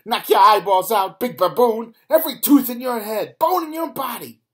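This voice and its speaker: A man's voice: extremely high pitch, animated, normal speed, breathy edge, faint music behind, pitched above the performer's own voice, full character voice. A man voicing a tough, fierce woman who works as a cinema cashier and bouncer, blustering and threatening. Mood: yelling.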